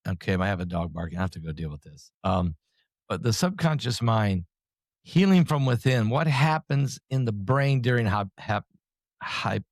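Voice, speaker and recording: A man's voice: fairly low pitch, lively intonation, no echo, clean studio sound. Man host asking rambling questions. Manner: rambling questions, quick bursts of speech. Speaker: podcast host. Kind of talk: casual interview questions.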